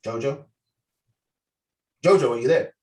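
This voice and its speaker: Fatherly martial arts mentor, read by a man, medium pitch, heard off-mic on a video call. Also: unhurried, a little mellow, recorded from a distance, natural voice. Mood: reassuring. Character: martial arts master calling his young student, fatherly. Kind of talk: script table read.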